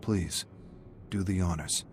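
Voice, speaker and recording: A young male gang ringleader, read by a man narrating, cool and challenging in a dark game story. His voice sits low, with faint noise behind it. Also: slow pace. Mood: courteous.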